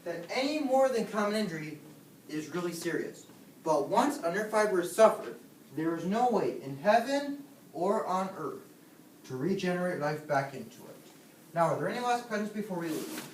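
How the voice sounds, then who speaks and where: A man acts out a pompous scientist lecturer, defensive and theatrical, prone to childish hysterics. The voice is high and swings widely in pitch. It is a stage comedy heard from across a roomy space. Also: big pitch swings, normal speed. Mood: lecturing. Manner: theatrical, declamatory. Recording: roomy, distant microphone, noticeable noise.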